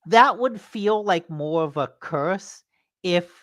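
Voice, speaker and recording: A woman's voice: on the low side, expressive, slow pace, dry recording, clean studio sound. A woman co-hosting a podcast in a casual chat, telling rambling anecdotes with many fillers and teasing her co-host.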